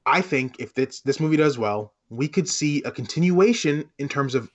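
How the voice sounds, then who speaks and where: Male podcast host, mid-pitched, measured and conversational, slightly dull lo-fi audio. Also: animated. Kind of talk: casual podcast discussion.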